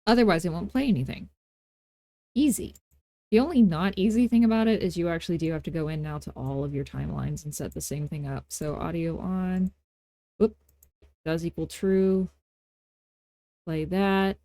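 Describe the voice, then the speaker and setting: A woman hosting a casual software tutorial, talking in an informal, playful and self-deprecating way. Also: on the low side, moderate pace, pauses often, no echo. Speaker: tutorial host.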